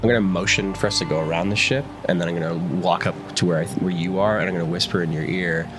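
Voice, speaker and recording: A man's voice: low-pitched, quick pace, noisy background. A man chatting casually as a player in a tabletop role-playing game. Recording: prominent music bed behind voice. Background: background music score.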